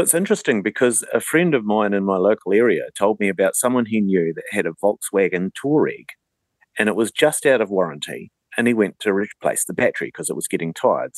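A man's voice: lowish voice, no room sound. A man with a New Zealand accent telling anecdotes in a casual radio conversation as a guest.

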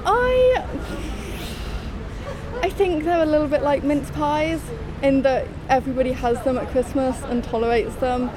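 A woman chatting informally as a panellist, recorded outdoors with clear street noise behind her. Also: sits high. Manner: informal, frequent "like". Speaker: theatre critic and panellist. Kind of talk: casual chat. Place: outdoors outside a street cafe. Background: traffic and urban ambience.

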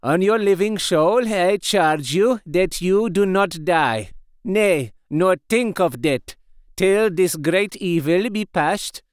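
A solemn, fatherly old professor voiced by a man speaks in slow, pleading, grave tones. The voice sits a little high and smooth, recorded close and dry. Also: animated, no echo.

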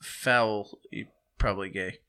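Bright, clear-voiced podcast co-host. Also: male voice, lowish voice, fairly even intonation, slow. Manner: joking storyteller, swears freely. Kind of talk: casual podcast banter.

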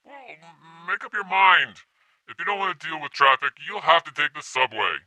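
Man playing a hapless kidnapper with forceful bright voice. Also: a little high, lively intonation, moderate pace, no room sound, poor audio. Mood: defensive. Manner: hesitant, defensive. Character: hapless, flustered male kidnapper, easily cowed. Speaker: actor in audio comedy. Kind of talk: comedic audio drama acting.

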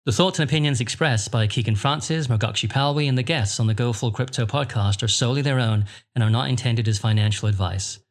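A man's voice: mid-range voice, quick pace, hardly any pauses. A man reading a scripted podcast disclaimer. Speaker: disclaimer reader.

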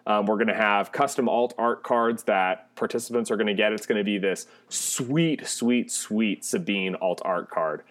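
Low-voiced upbeat male podcast host. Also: moderate pace, few pauses. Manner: upbeat, promotional, relaxed. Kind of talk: casual podcast chat.

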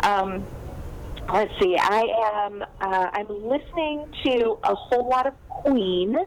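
A woman's voice: medium pitch, takes it slowly, low-quality recording. Chatty woman author with light line hiss. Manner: chatty, many fillers and restarts. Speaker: novelist guest. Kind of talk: casual interview chat.

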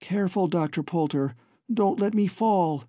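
A man's voice: high-pitched, animated, measured pace, dark tone, slightly rough, no echo, clean studio sound, pitched above the performer's own voice, full character voice. A man voicing a tiny, frightened young woman held captive, who speaks in a pleading, breathless way.

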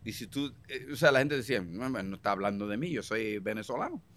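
A man's voice: lowish voice, expressive, a little fast, fairly bright tone, slight background noise. A man with a Puerto Rican Spanish accent, a guest in a political interview, talking emphatically, repeating himself and slipping into English phrases. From Puerto Rico.

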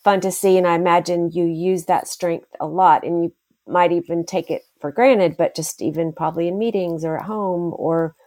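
A woman interviewer speaking encouragingly and haltingly, with many ums and restarts. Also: on the low side, steady tone, no room sound. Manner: warm, affirming, hesitant with many fillers. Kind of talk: casual conversation.

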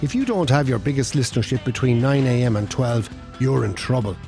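Wry Irish documentary narrator, mid-range voice, scripted, matter-of-fact radio comedy. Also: male voice, medium pitch, animated, a little fast, vocal fry, darkish tone, soft background music. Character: wry narrator of local radio mockumentary, man.

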